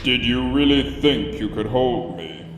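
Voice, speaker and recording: A man's voice: medium pitch, animated, a little slow. A man voicing a smug, gloating evil spirit in a fantasy audio story, ominous in manner and drenched in reverb. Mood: smug menace. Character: smug, menacing collective of confined evil spirits. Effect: heavy reverb. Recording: long echoing reverb, faint music behind.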